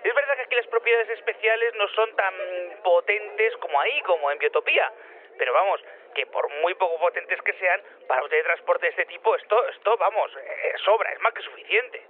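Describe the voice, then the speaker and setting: A man's voice: high voice, brisk, lightly acted. A man with a Spain accent playing an eager, flustered technician in a radio drama, repeating himself and stumbling obligingly over a phone line. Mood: reassuring, eager. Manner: repeats words, stammering eagerly. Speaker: teleporter technician. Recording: tinny, narrowband phone line.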